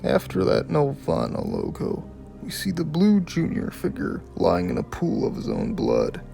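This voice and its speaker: A man's voice: mid-range voice, huge pitch swings, unhurried, creaky, mellow, faint music behind. A man hosting a video review, reading scripted commentary with some casual, profane asides.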